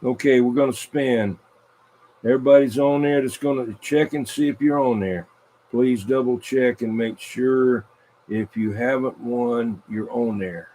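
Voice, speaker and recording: A man hosts an on-air game in a casual, mid-pitched voice. He talks informally and often repeats his short announcements as he keeps things moving. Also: a little slow, dark tone, slight background noise, poor audio. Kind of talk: casual live radio chatter, announcing a spin game.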